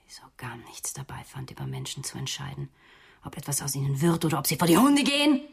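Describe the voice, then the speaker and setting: An actress playing a cornered, bitter psychoanalyst who has killed, in a German radio crime drama, with an intense, defiant manner. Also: female voice, low-pitched, very animated, fast, vocal fry, breathy edge, heavily acted.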